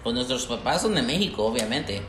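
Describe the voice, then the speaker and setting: A young man from the United States with a Mexican-American Spanglish accent, co-hosting a casual podcast in halting Spanish full of fillers and English words. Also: mid-range voice, sing-song. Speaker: podcast co-host. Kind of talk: casual bilingual chat. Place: workplace. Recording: roomy echo, faint background noise.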